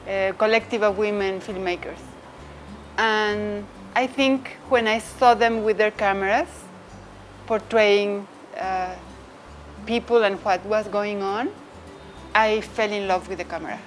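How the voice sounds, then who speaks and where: A woman's voice: mid-range voice, rough recording. A woman who works behind the camera, speaking earnestly about falling in love with camera work. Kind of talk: interview testimony.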